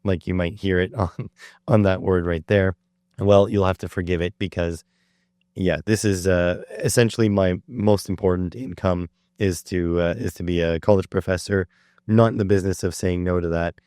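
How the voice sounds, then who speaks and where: A middle-aged man hosting a solo electronics podcast, talking in a rambling, explanatory way with frequent fillers, his voice slightly hoarse. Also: sits low, fairly even intonation, moderate pace, darkish tone, no echo, clean studio sound. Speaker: podcast host. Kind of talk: solo educational podcast talk.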